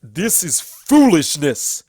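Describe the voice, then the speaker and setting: A narrator voicing an angry, deluded male alicorn stallion in a story reading, shouting and incredulous. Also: medium pitch, sing-song, slow pace, husky, no room sound, clean studio sound, pitched above the performer's own voice, full character voice. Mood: indignant shouting.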